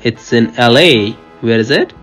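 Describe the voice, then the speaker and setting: A woman reading a children's picture book aloud in an expressive, playful, teacherly way, pausing to put questions to a child. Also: extremely low pitch, faint music behind. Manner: expressive, playful, teacherly, repeats answers and prompts. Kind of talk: animated read-aloud storytelling with questions to a child.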